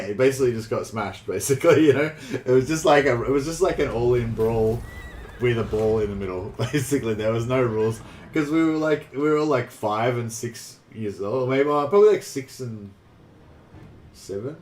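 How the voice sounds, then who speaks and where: An Australian man chatting casually on a live game stream, rambling and halting, with some swearing. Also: medium pitch, darkish tone. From New South Wales, Australia. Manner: rambling, halting, foul-mouthed. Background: faint game music.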